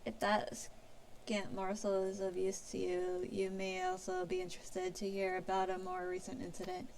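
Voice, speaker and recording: A woman's voice: mid-range voice, normal speed, vocal fry, a little mellow, slight background noise. A woman streamer reading fantasy game story dialogue aloud in a theatrical, formal delivery. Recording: music playing behind the voice.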